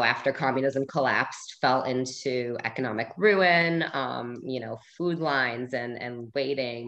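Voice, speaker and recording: A woman's voice: a bass voice, a bit bright, rough recording. A woman in her thirties from Bulgaria, guest speaker on a video call, talking earnestly with lots of fillers. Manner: earnest, conversational, many fillers. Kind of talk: casual talk on a video call. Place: room at home, indoors. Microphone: video call webcam.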